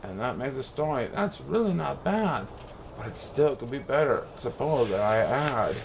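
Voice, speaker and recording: A man reading a rhyming children's storybook aloud in a theatrical, expressive way. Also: medium pitch, normal speed, gravelly, background noise. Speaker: storybook reader.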